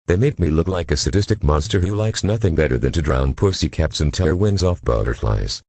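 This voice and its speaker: A man playing an exasperated, forceful assistant district attorney with a husky voice in a radio play. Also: very deep voice, animated, fairly quick, no echo, exaggerated voice. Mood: exasperated, defensive.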